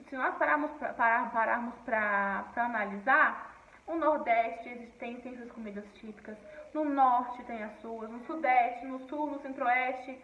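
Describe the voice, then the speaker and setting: High-voiced teacher in an echoey room. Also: female voice, far from the mic, highs cut off. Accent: Brasília Portuguese. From Paraíba, Northeast Brazil. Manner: explanatory, often checks in with "tá bom?". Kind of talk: recorded class lesson reading from a textbook.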